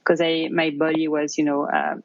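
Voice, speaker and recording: A woman's voice: sits low, very animated, moderate pace, no echo, dull audio. A woman in her thirties from France with a French accent, telling her story as an interview guest, hesitant and full of fillers. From France, near Paris. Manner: hesitant, many fillers and restarts. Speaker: podcast guest.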